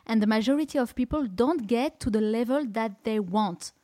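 French lady podcast host, french accent, kinda high voice, dry close mic. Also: normal speed, warm tone, dry recording. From France. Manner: encouraging, non-native phrasing with small slips. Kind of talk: casual interview in English.

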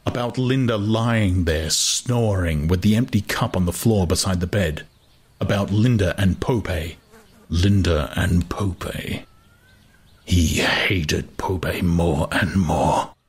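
A man narrating a literary audiobook in a dramatic, intense way, with deliberate phrasing. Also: low voice, husky.

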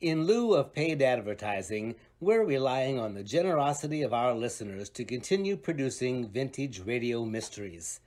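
A man's voice: mid-range voice, very animated, normal speed, hardly any pauses, darkish tone. A man announcing in scripted vintage radio style, formal but tongue-in-cheek.

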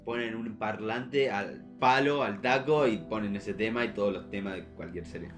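A man's voice: fairly low pitch, normal speed, faint music behind. A young man with a Rioplatense Argentine accent chatting casually and teasingly in slang as a podcast co-host. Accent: Rioplatense Argentine Spanish. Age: young adult. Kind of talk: casual chat.

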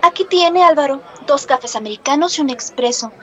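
High-voiced, demanding café hostess. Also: female voice, animated, a little fast, a bit bright, some chatter behind. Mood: pointed. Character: brisk, demanding café hostess collecting a debt. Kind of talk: radio drama dialogue. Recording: dull, muffled sound.

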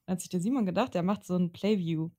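A woman's voice: fairly low pitch, fast talker, warm tone. A young woman chatting casually as a podcast co-host, teasing, laughing often and using slang.

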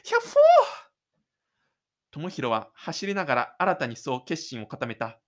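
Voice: medium pitch, a bit bright. A narrator reading scripted third-person storytelling for an audio drama.

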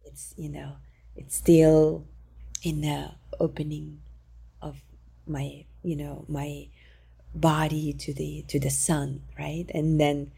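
Low gravelly woman voice, dark and mellow, speaks softly in halting non-native english. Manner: calm and gentle, halting with many fillers. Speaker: singing teacher. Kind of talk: conversational interview.

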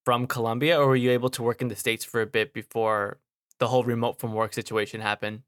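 A male podcast co-host speaks at an ordinary pitch with a bright, forward tone. He chats casually and is easily amused. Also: flat delivery, quick pace. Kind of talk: casual chat.

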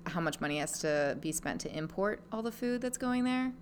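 Woman, thirties, from Hawaii, lower-pitched, wry and chatty, casual podcast guest, some background noise. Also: lively intonation, moderate pace. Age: 30s.

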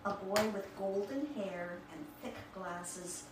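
A woman talking informally to a group and reading book passages aloud, with halting, admiring asides. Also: mid-range voice. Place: echoey room with a small group present. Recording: echoey room, distant mic, clear background noise.

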